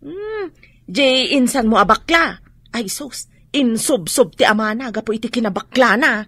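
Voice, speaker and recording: Sharp, husky gossiping storekeeper. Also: female voice, a little high, animated, a little slow, no room sound, heavily acted. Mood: gossipy. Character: nosy, gossiping village storekeeper woman. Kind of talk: radio drama acting.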